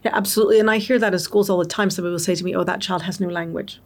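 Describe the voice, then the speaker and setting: A woman's voice: on the low side, fairly even intonation, racing. A woman guest giving long, articulate interview answers on education, explaining her points with examples. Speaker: guest expert on multilingual education.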